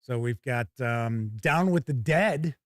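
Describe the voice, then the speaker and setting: A man's voice: medium pitch, animated, takes it slowly, dry recording, studio quality. A man hosting a tabletop gaming news show in a casual, chatty way, reading out items and adding wry asides. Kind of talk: casual live news commentary, reading blurbs and viewer comments.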